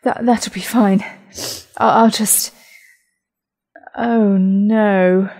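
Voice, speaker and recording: A woman's voice: medium pitch, fairly even intonation, extremely slow, a little mellow. A woman playing a weary, irritable young woman traveller in an audio drama, sighing and sarcastic. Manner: exasperated, sarcastic sighing delivery.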